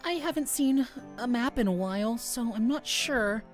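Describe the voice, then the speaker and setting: A woman voicing Fawn's part, a shy, gentle young male forest dweller in a visual novel, soft and earnest. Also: on the high side, expressive, moderate pace, soft background music, voice pushed up, lightly acted.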